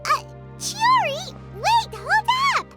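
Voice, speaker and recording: A woman voicing a small, childlike fairy companion in a video game, eager and fretful, with a squeaky voice and excitable manner. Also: slow, faint music behind, exaggerated voice. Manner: excitable, refers to self in third person.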